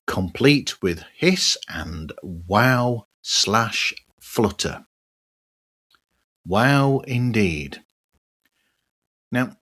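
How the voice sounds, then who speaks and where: A man's voice: lowish voice, fairly bright tone. A man hosting a podcast monologue in a personal style, dropping wry, sardonic asides as he goes. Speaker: podcast host.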